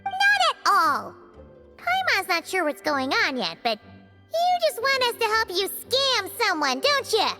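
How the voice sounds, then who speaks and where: A tiny floating game creature, squeaky voice, bouncy delivery, suspicious and blunt, reverb-heavy, loud soundtrack behind. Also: female voice, wildly swooping pitch, a little slow, full character voice. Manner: speaks of herself in third person, bluntly skeptical. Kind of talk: video game cutscene dialogue. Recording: long reverb over loud game music. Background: game soundtrack music.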